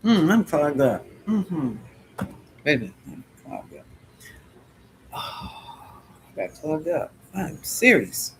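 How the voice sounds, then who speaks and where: A man's voice: a little high, big pitch swings, takes it slowly, long pauses, fairly bright tone, a little hiss or hum. A middle-aged man hosting a psychic livestream, answering viewer questions in a rambling, musing way and chuckling often.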